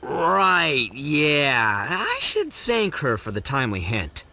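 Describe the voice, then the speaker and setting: A man playing a brash, dim-witted, boastful young oni gangster in a video game, loud and theatrical with slangy bravado. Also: on the high side, wildly swooping pitch, slow, slight background noise, heavily acted. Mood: boastful, blustering. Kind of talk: animated video game voice acting.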